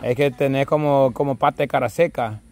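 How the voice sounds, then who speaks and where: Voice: medium pitch, steady tone, fairly quick, a little mellow. Someone with a Salvadoran accent giving casual, exclamatory, teasing play-by-play outdoors. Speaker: camera operator and commentator. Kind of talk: casual play-by-play commentary and chat. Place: outdoors in a wooded park with dirt ground.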